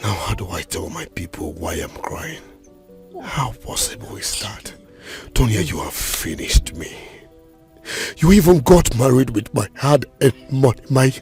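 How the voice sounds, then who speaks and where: An actor with a Nigerian accent playing a grief-stricken, self-pitying grown son with a very rough voice in a screen drama. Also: male voice, medium pitch, normal speed, few pauses, warm tone, soft background music. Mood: wailing grief.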